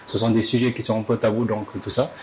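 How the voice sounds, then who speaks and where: A man's voice: fairly low pitch, flat delivery, fast, crowd noise. A man in a conversational panel discussion, circling his point hesitantly and often repeating phrases.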